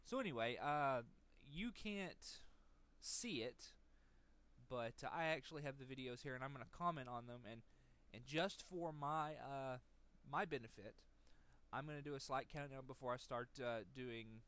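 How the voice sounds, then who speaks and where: Man doing halting, casual game commentary. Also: medium pitch, fairly bright tone, clear, resonant tone. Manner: halting, hedging, repeats words while thinking. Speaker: let's play commentator.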